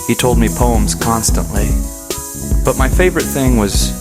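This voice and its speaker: A man narrating and hosting a comedic radio play in a deadpan scripted delivery. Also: mid-range voice, normal speed. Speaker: host and narrator. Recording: loud music under voice.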